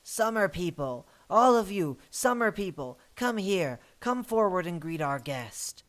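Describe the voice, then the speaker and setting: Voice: medium pitch, lively intonation, normal speed, close to an everyday voice. A performer voicing proud, rivalrous fae courtiers of the seasons in a theatrical, declamatory audio-drama style. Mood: calling out.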